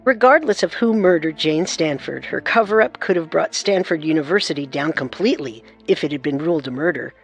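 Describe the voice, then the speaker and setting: A man narrating a true-crime radio story in an earnest, assertive way over soft music. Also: on the high side, lively intonation, brisk, gravelly, hardly any pauses. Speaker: narrator and co-host.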